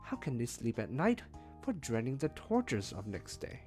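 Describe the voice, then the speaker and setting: A man reading a novel aloud as a streamed read-along, with expressive, emphatic phrasing. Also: mid-range voice, normal speed, soft background music. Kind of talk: read-along audiobook narration.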